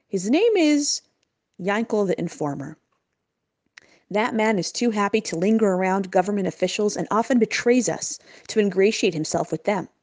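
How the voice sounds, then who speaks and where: A woman's voice: mid-range voice, lively intonation, moderate pace, darkish tone, no echo, close to an everyday voice. A woman voicing a guarded, bitter townsman in a storybook tale, speaking in a dismissive, grudging way. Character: guarded, bitter small-town local man.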